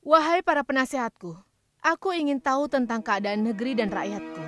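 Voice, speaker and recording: A woman's voice: on the high side, brisk, a bit bright, dry recording, studio quality, close to an everyday voice. A woman voicing a regal, curious queen in a cartoon, addressing her advisers. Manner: regal, inquiring. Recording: music bed behind.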